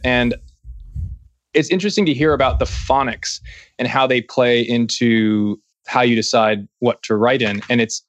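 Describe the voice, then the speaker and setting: A man's voice: mid-range voice, dry recording. A man hosting a music interview podcast, chatty and joking, often restarting his sentences. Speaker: podcast host. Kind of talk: casual interview chat.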